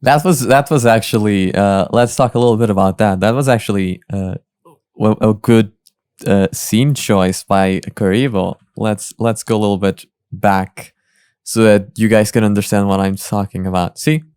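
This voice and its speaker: Low, clear-voiced man reacting casually. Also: moderate pace, a little mellow, no room sound, studio quality. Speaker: reaction video host. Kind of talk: casual reaction and analysis commentary.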